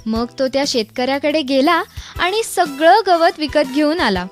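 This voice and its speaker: A female storyteller reads a children's tale in a high voice. It is scripted narration, with soft music playing behind her. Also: animated, moderate pace. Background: background music.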